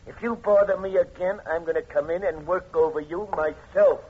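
A man's voice: high voice, moderate pace, husky. A man acting an impatient, bullying criminal henchman in an old radio drama, brusque and dismissive with slangy speech. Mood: threatening. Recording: muffled, noisy old radio recording.

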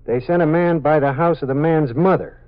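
A man's voice: a little high, brisk, close to an everyday voice. Actor as a dutiful policeman, tinny old radio. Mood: brisk, dutiful. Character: dutiful police officer reporting to his captain. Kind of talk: 1950s radio police drama acting. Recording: thin, phone-like 1950s radio recording.

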